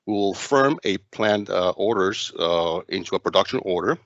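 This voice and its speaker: A man narrating a software demo walkthrough as its presenter, speaking in a halting, hesitant way with frequent stumbles. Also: low-pitched, animated, no room sound, highs cut off.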